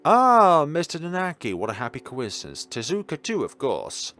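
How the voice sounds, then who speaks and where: A man's voice: fairly high pitch, huge pitch swings, moderate pace, fairly bright tone, pitched above the performer's own voice. A man voicing a sly, courteous male head nurse in a visual novel, greeting people with cheerful formality.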